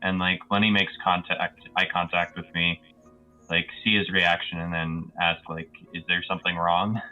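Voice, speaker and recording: A man's voice: low voice, flat delivery, normal speed, phone-quality sound, faint music behind. A man playing a tabletop roleplaying game, casually describing his character's actions and speaking his lines.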